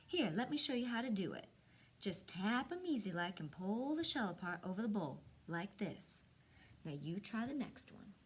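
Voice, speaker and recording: A woman voicing a kindly, gently teasing Southern grandmother in a storybook, folksy and coaxing. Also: medium pitch, very animated, normal speed, slight background noise, lightly acted. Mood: gentle coaching. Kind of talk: storybook read-aloud.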